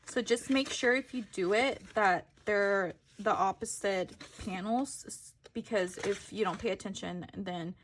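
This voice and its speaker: Slow-paced woman giving a craft tutorial. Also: medium pitch, slight background noise. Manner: informal, self-correcting, thinking aloud. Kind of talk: casual step-by-step craft tutorial.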